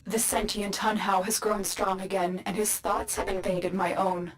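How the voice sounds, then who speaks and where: A woman voicing a calm, secretive guide in a video game, measured and urgent. Her voice sits mid-pitch, with faint noise behind it. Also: lightly acted, rough recording. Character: calm, mysterious female guide, wary and secretive. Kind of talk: video game mission voice acting.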